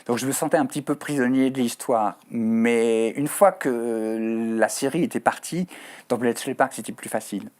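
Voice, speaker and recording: A man's voice: mid-range voice, moderate pace, darkish tone. A Swiss French man, a writer as a guest on a TV studio interview, speaking thoughtfully with frequent hesitations and fillers. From Switzerland. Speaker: author guest.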